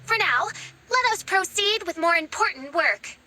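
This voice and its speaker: A woman's voice: extremely high pitch, lively intonation, unhurried, bright, forceful tone, a little hiss or hum, heavily acted. A woman playing a haughty teenage princess in an anime game, with pompous, theatrical, archaic diction. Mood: proud, lofty.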